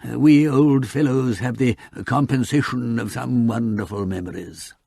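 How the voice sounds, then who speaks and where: A man's voice: medium pitch, measured pace, creaky, warm tone, husky, no echo, clean studio sound. A man voicing a courteous, old-fashioned elderly English solicitor with a hesitant, precise, formal way of talking in an audiobook. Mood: musing, wistful.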